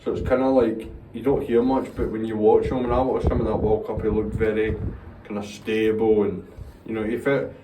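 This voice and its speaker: A man's voice: fairly low pitch, level tone, fairly quick, distant mic, noisy background. A young Scottish man chatting casually about football, opinionated and rambling, swearing now and then. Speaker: co-host. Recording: roomy echo, slightly off-mic, faint ambience.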